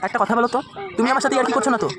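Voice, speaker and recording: A man's voice: very high voice, very fast, over a noisy crowd. A man making a prank phone call as a reproachful former lover, insistently questioning.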